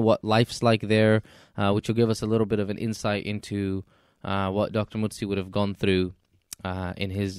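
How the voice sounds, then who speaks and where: A male podcast host with a low-pitched, smooth, resonant voice. He talks in a polite, measured way with a touch of joking. The sound is close and dry, with no room around it. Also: a little mellow, no echo. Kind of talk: casual interview chat.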